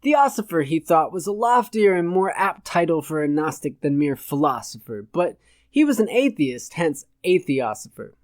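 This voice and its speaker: A man's voice: a little high, sing-song, normal speed, no room sound. Man reading a satirical audiobook, dry sound. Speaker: audiobook narrator. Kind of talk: scripted narration of satirical fiction.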